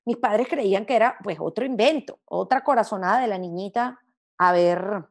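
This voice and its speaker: A woman's voice: medium pitch, moderate pace. A woman from Venezuela with a hard-to-place Latin American accent, talking reflectively and expressively as an interview guest. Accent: Spanish of mixed Latin American sound, hard to place. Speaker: interview guest, gastronomy journalist.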